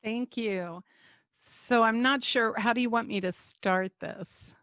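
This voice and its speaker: An older American woman telling her life story in a relaxed chat, halting and full of fillers, on a video call. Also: a little high, no room sound, clean studio sound. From United States. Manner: slow, halting, many fillers. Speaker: guest speaker. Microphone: computer mic on a video call.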